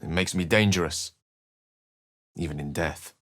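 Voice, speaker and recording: A learned wizard for a video game, in a low-pitched voice recorded close and dry. He is courteous and wry, shaping each phrase articulately with theatrical, literary polish. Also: measured pace, a bit bright, no echo. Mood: grave storytelling. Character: erudite, courteous, wry human wizard, adult man. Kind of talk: video game voice acting, dialogue and narration.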